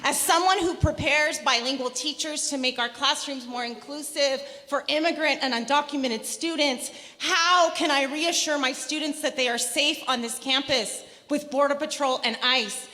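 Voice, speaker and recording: A woman's voice: high-pitched, bright, forceful tone. Earnest woman speaking in a large hall. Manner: earnest, pausing with fillers. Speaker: assistant professor speaking at a campus forum. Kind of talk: public comment at a town hall. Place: large indoor hall at a public forum. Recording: large hall with crowd noise.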